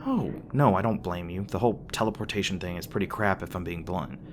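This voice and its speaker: A man's voice: sits low, a little fast, noisy background. A man playing a young demon boyfriend in an ASMR roleplay, speaking casually with teasing jokes, sweetness and hesitant asides. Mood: earnest, explaining.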